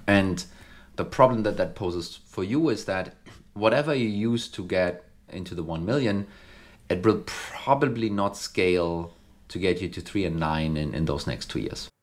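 A man's voice: low voice, expressive, normal speed. A man co-hosting a business podcast, explaining growth math step by step with fillers. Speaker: podcast co-host. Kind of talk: casual business chat. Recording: echoey clip, audio quality remarked.

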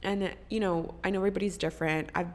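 A woman's voice: on the low side, steady tone, quick pace. A young woman hosting a podcast, chatting casually and rambling, swearing freely, and sounding congested. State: congested, coughing. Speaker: podcast host. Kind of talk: casual chat.

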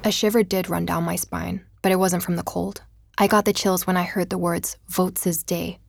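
An actress playing a curious, thoughtful young woman investigator in an audio drama, with a creaky voice and an understated manner. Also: fairly low pitch, little pitch movement, moderate pace, dry recording, studio quality. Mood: curious, intent. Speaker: lead actor and narrator of an audio drama. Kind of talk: audio drama dialogue and first-person narration.

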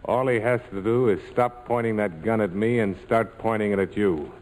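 An actor playing a tough, sardonic major in 1940s radio drama style, wisecracking and defiant. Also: male voice, on the low side, moderate pace, a little mellow, lightly acted, poor audio. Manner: wisecracking, hard-boiled.

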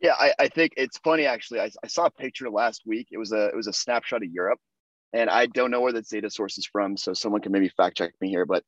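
Fast-talking young man, dull dry sound. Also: fairly low pitch, a bit bright, no room sound. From United States. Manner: chatty, lots of fillers and restarts. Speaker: podcast guest. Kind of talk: casual interview conversation.

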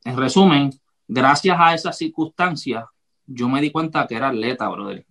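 A man hosting a podcast, telling a childhood story in a relaxed, casual way and laughing easily. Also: mid-range voice, moderate pace, gravelly. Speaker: podcast host. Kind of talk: casual conversation.

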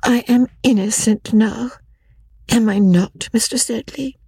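Lady reading a scheming sweet-talking young woman, husky, unhurried. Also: on the high side, dark tone, dry recording, voice pushed up, full character voice. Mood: coaxing.

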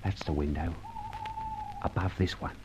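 A man's voice: sits low, steady tone, moderate pace, background noise, lightly acted. A man playing a cheeky working-class sidekick in an old radio serial, chatty, colloquial and jocular. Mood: cocky. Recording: dull, lo-fi old radio recording.

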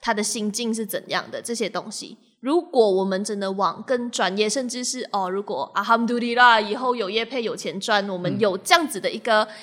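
A woman's voice: medium pitch, fairly quick, hardly any pauses, a bit bright. A woman with a Malaysian accent chatting casually as a podcast host, playful and teasing, mixing in English words. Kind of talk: casual chat.

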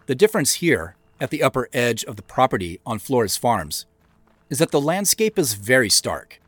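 An American man delivers reflective, scripted commentary in a measured and thoughtful way. His pitch moves in a lively range at a middle height, with faint music underneath. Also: no echo. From United States, Pacific Northwest. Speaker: audio drama creator giving director's commentary.

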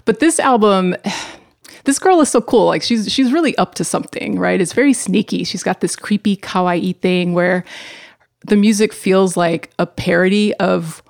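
A woman co-hosting a casual podcast chat about favorite albums, enthusiastic and relaxed. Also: medium pitch, moderate pace, vocal fry, dry recording, clean studio sound. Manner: enthusiastic, relaxed, laughs easily.

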